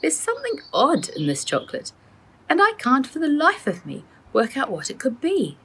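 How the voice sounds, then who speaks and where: A woman's voice: sits high, huge pitch swings, normal speed, a bit bright, voice pushed up, exaggerated voice, low-quality recording. A woman voicing a levelheaded, bemused uncle in a children's storybook, with colloquial, questioning delivery. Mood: puzzled.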